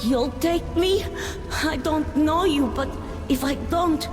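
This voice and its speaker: A woman's voice: high voice, takes it slowly. A woman voicing a plucky, naive little child in a video game, earnest and childlike. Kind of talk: video game cutscene acting. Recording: long reverb with music behind.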